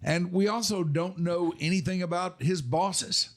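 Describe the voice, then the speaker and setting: A man's voice: high-pitched, unhurried. Older male sports podcast host. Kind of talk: casual sports interview.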